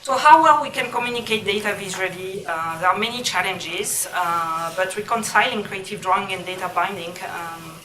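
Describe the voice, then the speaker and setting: A woman giving an academic conference talk with a somewhat hesitant, halting delivery. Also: mid-range voice, pushed and bright. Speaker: academic presenter. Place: lecture room. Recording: echoey room, distant mic, music.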